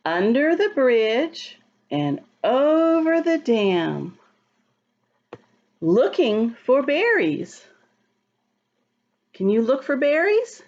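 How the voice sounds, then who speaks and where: A woman reading a picture book aloud to children in a teacherly, expressive, sing-song way, explaining and asking questions as she goes. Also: high voice, drawn-out words, long pauses, dull audio. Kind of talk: read-aloud storytime with asides to children.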